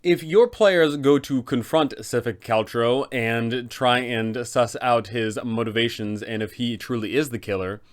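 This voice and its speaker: A man's voice: medium pitch, measured pace, hardly any pauses. A man hosting a guide for game masters, narrating from a script how to play a villain.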